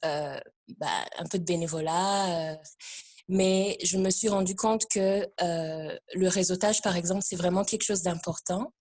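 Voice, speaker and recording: Female discussion participant, slightly low voice, unscripted personal account with frequent hesitations. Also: steady tone, a little slow, darkish tone. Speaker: discussion participant, immigrant services worker. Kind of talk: informal personal testimony.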